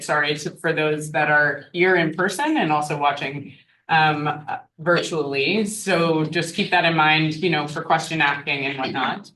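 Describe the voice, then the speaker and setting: A woman with a loud voice moderating an academic panel, introducing speakers with frequent ums. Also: sits low, roomy sound, a little hiss or hum, poor audio. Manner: loud, upbeat, with frequent fillers. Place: university seminar room.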